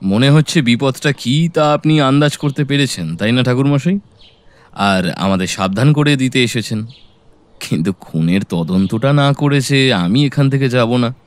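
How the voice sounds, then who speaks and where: An actor playing a composed, resolute detective with pointed questions in an audio drama. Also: male voice, mid-range voice, lively intonation, normal speed, close to an everyday voice. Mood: firm. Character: probing, resolute male detective.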